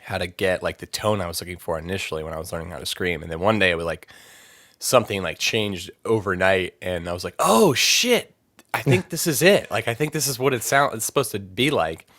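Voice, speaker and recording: A man's voice: low voice, animated, a little fast, a bit bright, dry recording. A young man from Maryland chatting casually as a podcast guest, rambling with lots of fillers and laughing easily. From Maryland, USA. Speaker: podcast guest, metal vocalist. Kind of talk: casual interview chat.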